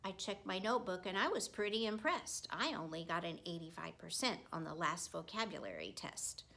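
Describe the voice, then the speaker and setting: A woman reading a children's story aloud as a teacher would, with expressive narration and deliberate, emphatic phrasing. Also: on the low side, gravelly.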